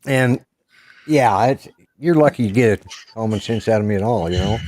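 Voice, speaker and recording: A man's voice: lowish voice, normal speed, darkish tone, no room sound. Folksy older man with an Oklahoma accent. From Colorado. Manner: folksy, blunt, swears casually. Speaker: livestream participant. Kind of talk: casual chat.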